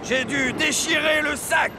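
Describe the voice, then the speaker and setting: A man's voice: very high voice, steady tone, a little slow, crowd noise, noisy background. A man voicing a friendly, obliging machine character in a children's railway cartoon. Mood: apologetic. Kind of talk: animated children's cartoon dub. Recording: music behind the voice.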